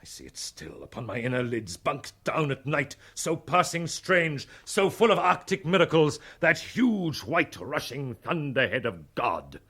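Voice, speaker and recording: An actor playing a blinded, obsessed old starship captain, declaiming grandly and poetically in a 1960s British radio drama. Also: male voice, a little high, very animated, a little slow, few pauses, pushed and bright, slightly rough, exaggerated voice. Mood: haunted, rapturous.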